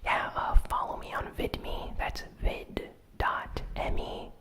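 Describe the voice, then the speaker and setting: A person whispering a casual ASMR gaming news recap in a breathy, unvoiced, soft-spoken and informal way. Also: slow. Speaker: host of a gaming news show.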